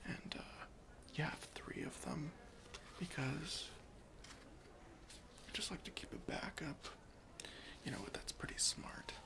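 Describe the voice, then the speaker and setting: A man's voice: fairly high pitch, animated, exaggerated voice. Whispering airport security officer, played by a man. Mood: agreeable, musing. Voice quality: whispery, breathy. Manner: slow, deliberate interrogation with mock-stern politeness. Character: officious chief airport security officer, skeptical yet easily persuaded. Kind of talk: ASMR roleplay.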